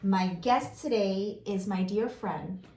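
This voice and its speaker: A woman's voice: medium pitch, slow, a crowd in the background. A woman hosting a casual talk show, bubbly and chatty, laughing often. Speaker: host. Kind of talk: casual chat show interview. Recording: echoey room.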